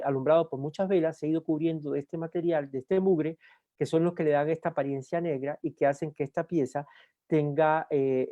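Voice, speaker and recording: A man from Cuba with a Cuban accent, lecturing at a university in an unhurried way, often pausing on "eh" and restarting words. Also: on the high side, mellow, dry recording, muffled recording. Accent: Cuban Spanish. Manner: unhurried with frequent "eh" fillers and restarts. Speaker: guest lecturer. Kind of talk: university talk.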